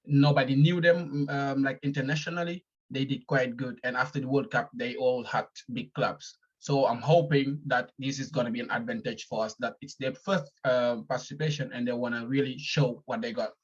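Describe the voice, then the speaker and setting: A man from Senegal, a fan interviewed over a call, speaking calmly with frequent hesitations. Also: mid-range voice, normal speed, few pauses, muffled recording. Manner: hesitant, many ums, calm. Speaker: guest fan. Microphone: phone or video call.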